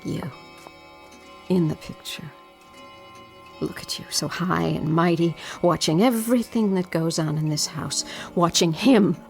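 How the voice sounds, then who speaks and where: A woman's voice: fairly low pitch, measured pace, gravelly, a little mellow, soft background music. An actress playing a determined, frightened fiancée in a radio drama, intense and dramatic, drawing out her phrases. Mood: defiant, confrontational. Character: determined, frightened long-waiting fiancée, pleading then defiant.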